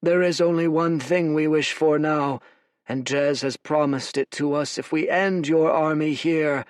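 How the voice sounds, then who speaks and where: A man voicing a rotting two-headed god monster that speaks with gentle, weary resignation. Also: fairly high pitch, fairly even intonation, unhurried, no echo, pitched above the performer's own voice, lightly acted. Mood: resigned.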